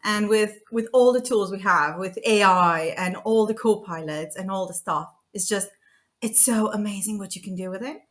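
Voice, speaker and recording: A woman's voice: medium pitch, normal speed. A woman in her thirties from Romania, a guest talking casually on a podcast, chatty and full of fillers and restarts. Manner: chatty, frequent fillers and restarts, laughing often. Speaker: guest, data consultant.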